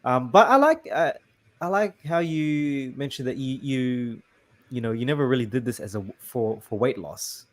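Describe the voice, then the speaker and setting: A man's voice: mid-range voice, lively intonation, moderate pace. A man hosting a casual interview, talking in a hesitant, agreeable way full of restarts. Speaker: host.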